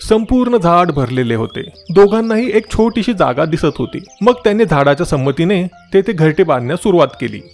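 A man's voice: on the high side, darkish tone. A man narrating a scripted moral story for children as a storyteller.

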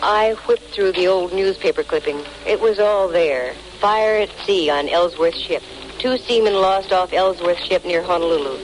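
A woman's voice: lowish voice, normal speed, lightly acted. An actress playing a wry, slangy female private detective in 1940s radio drama style. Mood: brisk, determined. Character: wisecracking, dry-humored female private detective. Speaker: lead actress and narrator of a detective serial. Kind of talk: 1940s radio drama acting, first-person narration. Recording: noisy old 1940s radio recording.

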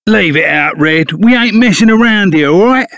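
A man's voice: high-pitched, lively intonation, normal speed, gravelly, a bit bright, no echo, studio quality, full character voice. A man voicing a burly, blustering but loyal bandit sidekick in a video game, with a Cockney accent and blunt, slangy manner. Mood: exasperated. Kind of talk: animated video game character acting.

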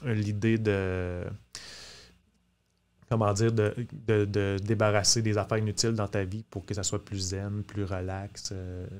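Low, even-voiced Quebec man, halting. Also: clean tone. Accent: Quebec French. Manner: hesitant, halting. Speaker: podcast guest. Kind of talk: casual explanatory discussion. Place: small podcast studio, indoors. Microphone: close studio mic on boom arm.

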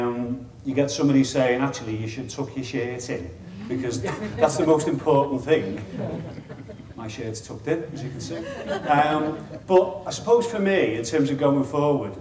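A man's voice: medium pitch, a little mellow. A middle-aged man with a Liverpool accent talks to a meeting room full of people, chatty and wry. His voice sounds roomy and a bit distant from the mic, with a faint hiss underneath. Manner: chatty, self-deprecating, wry asides. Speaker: chief executive giving a conference talk. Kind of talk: informal presentation to an audience. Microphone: lapel mic.

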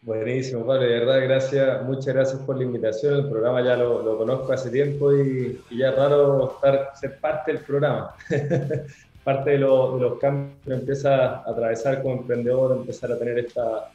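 A man's voice: medium pitch, little pitch movement, moderate pace, dark tone, lo-fi sound. A young man from Venezuela with a Chilean Spanish accent, chatting casually as an interview guest over a video call, full of restarts and slang. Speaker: guest, startup founder. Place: home room on a video call. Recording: very echoey room, faint music.

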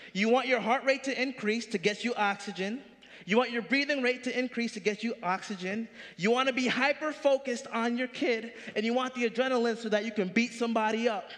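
Energetic young pastor preaching in a hall. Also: male voice, extremely high pitch. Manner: animated preaching, rising punchy questions. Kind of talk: sermon. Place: large church stage.

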